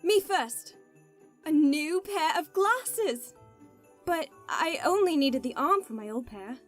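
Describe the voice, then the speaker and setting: A woman's voice: high-pitched, expressive, slow pace, faint music behind. A woman playing a scatterbrained, childlike and cheerful teammate in an audio drama. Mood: delighted.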